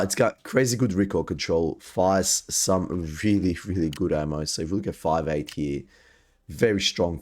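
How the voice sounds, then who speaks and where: A man's voice: deep voice, a little mellow. A man hosting a gaming video, giving upbeat, hyped recommendations with frequent ums while sick and losing his voice. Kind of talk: gaming gear guide commentary.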